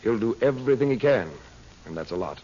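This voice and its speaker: An actor playing a grave, protective hero, earnest and measured, in old-time radio drama. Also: male voice, medium pitch, lively intonation, warm tone, close to an everyday voice. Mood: grave, determined. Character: resolute, grave heroic man, protective of his family. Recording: muffled old radio recording, faint noise.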